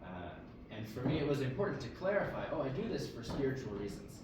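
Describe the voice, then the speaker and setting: A man from Ethiopia, a guest in a casual interview, explaining his views in a thoughtful, measured way. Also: medium pitch, very animated. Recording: echoey room, mic far off, noisy.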